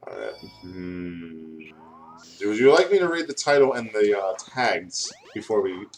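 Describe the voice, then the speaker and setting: A man's voice: lowish voice, big pitch swings, a little slow, rough recording. A man in casual, giggly chat, reading aloud over a video game. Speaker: guest co-host reading fan fiction.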